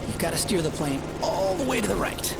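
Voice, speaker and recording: A man's voice: sits high, animated, brisk, creaky. A man playing a keen, focused expert race co-commentator in a video game, calling the action with earnest, dramatic urgency. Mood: focused, excited. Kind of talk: scripted sports play-by-play. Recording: loud music, engine noise behind. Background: loud music and vehicle sounds.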